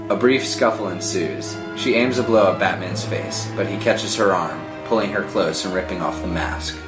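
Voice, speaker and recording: A man's voice: deep voice, normal speed. A man narrating screenplay stage directions as scripted narration, with film-score music behind him. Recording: echoey room with music under the voice.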